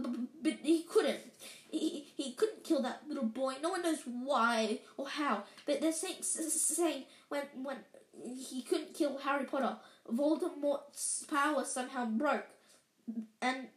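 A woman's voice: high-pitched, voice pushed up. A woman voicing a stern, anxious elderly woman professor who stammers and restarts in tearful, breathless outbursts. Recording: roomy echo.

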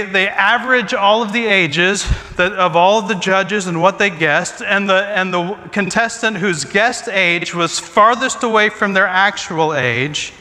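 A high, bright male voice belongs to a pastor in his forties who jokes at his own expense in a chatty sermon. His words ring out with a long reverb, as in a large church sanctuary. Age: 40s. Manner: self-deprecating joking, chatty asides. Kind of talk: humorous sermon talk.

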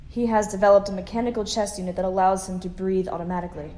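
A woman's voice: mid-range voice, fairly even intonation, fast, dark tone, lightly acted. A woman playing a starship officer who is firm but kind with a young crewman. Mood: brisk. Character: caring, steady female starship officer. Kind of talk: audio drama acting.

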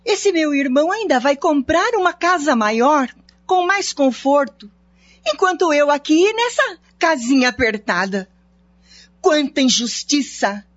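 A woman's voice: sits high, heavily acted. A woman playing an envious, resentful, nagging housewife in a melodramatic radio soap. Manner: bitter, complaining. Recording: dull lo-fi audio.

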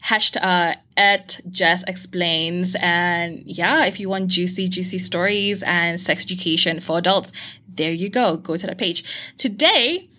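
A woman hosting a podcast, chatting casually, excitable and gushing. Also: lowish voice, a little slow. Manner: excitable, gushing, laughs often. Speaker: podcast host. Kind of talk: casual interview chat.

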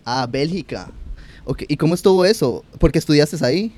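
A young man hosting a podcast, chatty and firing quick follow-up questions in casual interview talk. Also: on the high side, lively intonation, darkish tone. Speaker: podcast host.

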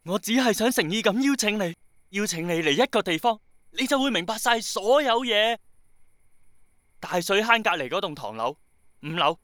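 A man's voice: high-pitched, animated, normal speed, a bit bright, no room sound, studio quality. An actor playing an eager, earnest, insistent young man in a radio drama, with a Hong Kong accent. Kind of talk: Cantonese radio drama acting.

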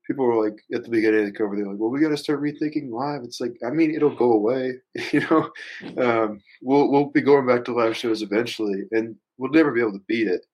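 A man chatting casually as a musician guest on a podcast, thinking out loud in a rambling, hesitant, reflective way and swearing casually. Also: medium pitch, fast talker, low-quality recording.

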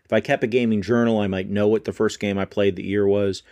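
A man's voice: lowish voice, little pitch movement, fast, darkish tone, no echo. A man in his fifties hosting a solo podcast, talking conversationally and correcting himself as he thinks aloud. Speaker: podcast host.

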